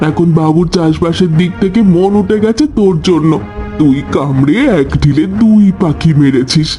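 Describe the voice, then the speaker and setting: A scheming village housemaid played by a man scolds and threatens in a cartoon. The voice sits high with a soft, rounded tone, haughty and bossy over background music. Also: moderate pace, close to an everyday voice. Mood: gloating, sly. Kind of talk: cartoon dialogue.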